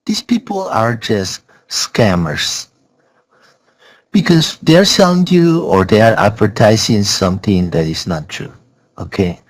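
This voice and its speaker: Slow-talking, insistent man with swooping pitch. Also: animated, no room sound. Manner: blunt, insistent, repeating himself. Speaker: software reviewer. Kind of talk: casual spoken review.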